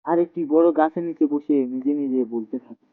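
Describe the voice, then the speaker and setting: A man's voice: a little high, normal speed, no room sound. Tinny-sounding male fairy-tale storyteller. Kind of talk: scripted fairy-tale narration. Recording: tinny phone-like audio. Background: soft music.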